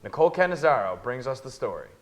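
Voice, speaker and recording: A man's voice: medium pitch, very animated, fast talker, crowd noise. Young male student news anchor. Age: young adult.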